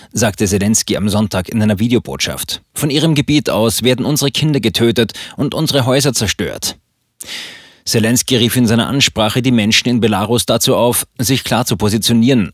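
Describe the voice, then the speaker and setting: A man reading scripted news articles for a podcast in a formal, measured manner. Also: medium pitch, no room sound, clean studio sound.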